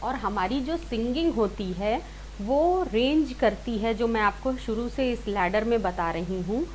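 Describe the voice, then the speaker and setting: Higher-pitched woman teaching singing. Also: lively intonation, a little slow, a little mellow, slight background noise, poor audio. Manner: explanatory, rephrases and repeats points, mixes in English words. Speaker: singing teacher, series host. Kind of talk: informal step-by-step music lesson.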